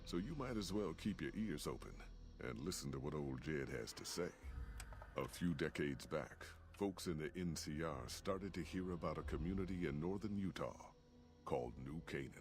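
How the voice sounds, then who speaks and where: A man's voice: a bass voice, expressive, moderate pace. An actor playing an older male wasteland trail guide in a video game, speaking in a folksy, plain-spoken drawl. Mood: storytelling. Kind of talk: video game character dialogue.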